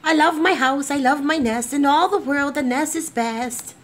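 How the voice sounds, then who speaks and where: A woman voicing a gentle, contented mother bird in a storybook, higher than her own voice. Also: expressive, slow pace, slight background noise.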